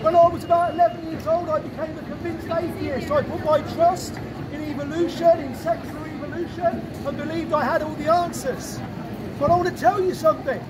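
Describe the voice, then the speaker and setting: Loud street preacher, man, high voice. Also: recorded from a distance. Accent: British English. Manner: loud, proclaiming, repetitive. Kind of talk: open-air testimony preaching. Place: busy city street corner outdoors. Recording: loud traffic and crowd noise.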